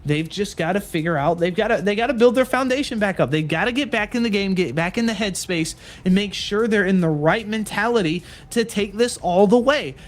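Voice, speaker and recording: A man's voice: high voice, a little fast, few pauses. A man casting a live esports stream, talking in earnest, repetitive hype with some fillers. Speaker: esports caster.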